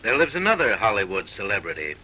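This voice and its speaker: A man's voice: lowish voice, very animated, quick pace, pushed and bright, slight background noise, rough recording. A man narrating a 1930s comic film with mock-serious, arch, theatrical delivery on an old film soundtrack.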